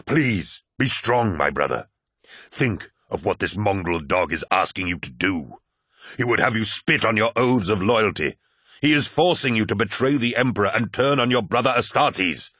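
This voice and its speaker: A man voicing a towering, one-eyed giant warrior in an audiobook, angry yet noble, grave and commanding, with a husky edge. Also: fairly low pitch, lively intonation, normal speed, fairly bright tone, no echo, clean studio sound. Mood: urgent, pleading.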